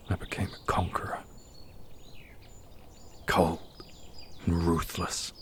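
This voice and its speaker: A man playing a brooding dark fantasy prince who is tender with his lover, in an intimate, hushed ASMR roleplay, gravelly and at times whispering. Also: low voice, slight background noise, exaggerated voice. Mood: brooding, resolute. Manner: slow, hushed, deliberate with long pauses.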